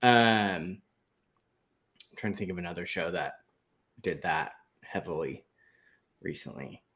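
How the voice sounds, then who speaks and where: A man chatting casually as a panelist on a talk show, in a halting, conversational way with trailing asides. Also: low voice, lively intonation, a bit bright. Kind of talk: casual chat.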